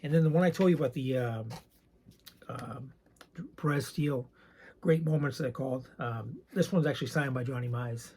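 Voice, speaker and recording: A soft-spoken man with a raspy voice, chatting casually and rambling a bit while showing his collection. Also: mid-range voice, moderate pace. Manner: soft-spoken, not loud; rambling, hesitant with many ums. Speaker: card collecting channel host. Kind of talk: casual show-and-tell chat.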